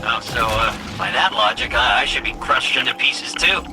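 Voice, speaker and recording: Game role: male actor as nervous turncoat robot, chatty, stammering, very animated delivery, loud music behind. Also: medium pitch, moderate pace, natural voice. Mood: uneasy, defensive. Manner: stammering, hesitant, evasive, chatty. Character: nervous, fast-talking defector robot ghost, wisecracking and eager to please. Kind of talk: video game cutscene acting. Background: loud background music.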